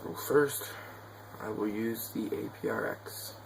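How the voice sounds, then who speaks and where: A man's voice: lowish voice, fairly even intonation, a little slow, vocal fry, slight background noise, rough recording. A man giving casual, offhand gameplay commentary, muttering asides to himself in a home recording with game sounds behind him. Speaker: gaming video creator.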